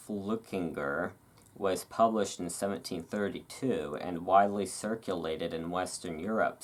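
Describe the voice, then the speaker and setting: A host giving a relaxed ASMR read-aloud, drifting into casual, musing asides. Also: deep voice. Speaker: host reading and commenting on a magazine.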